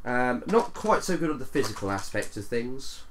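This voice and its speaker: A man's voice: medium pitch, very animated, moderate pace. Rambling man chatting over faint room noise. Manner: rambling, frequent "you know". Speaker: streamer and player. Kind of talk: casual tabletop game chat. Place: living room with a group.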